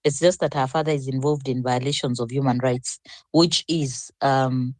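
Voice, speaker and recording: A woman's voice: rumbling low, fairly even intonation, moderate pace, a little mellow, no echo. An older woman speaking as a panelist in an online discussion, reflective and rambling with frequent restarts.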